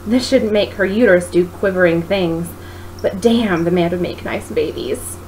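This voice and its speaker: A woman reading a story aloud as narrator, in an expressive style. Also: on the low side, normal speed. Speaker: author reading own book. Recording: noisy home recording.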